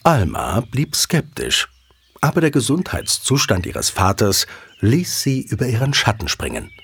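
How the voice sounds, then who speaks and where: A man's voice: medium pitch, wildly swooping pitch, unhurried, gravelly, a bit bright, slightly rough. A man narrating a scripted audio drama as a storyteller.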